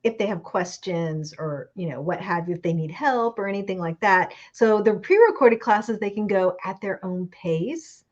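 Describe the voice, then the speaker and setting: A woman's voice: medium pitch, lively intonation, moderate pace, hardly any pauses, highs cut off. A woman host chatting casually, encouraging her guest and laughing along. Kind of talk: casual interview chat.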